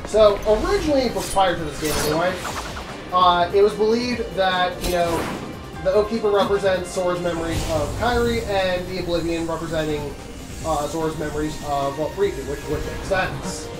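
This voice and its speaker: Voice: mid-range voice, moderate pace, reverberant, over music, poor audio. A let's-play co-commentator explaining game lore with dry, laid-back remarks. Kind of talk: casual reaction commentary.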